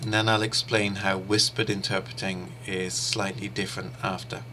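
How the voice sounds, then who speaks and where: A man whose first language is English, teaching an interpreting course in a steady, explanatory way with pauses and fillers. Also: sits low, a little hiss or hum. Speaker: interpreting instructor. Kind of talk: explanatory online lecture.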